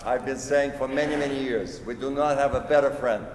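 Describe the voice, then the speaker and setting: A man's voice: mid-range voice, steady tone, fairly quick. A man speaking earnestly and emphatically at a synagogue event. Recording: noisy room with loud crowd.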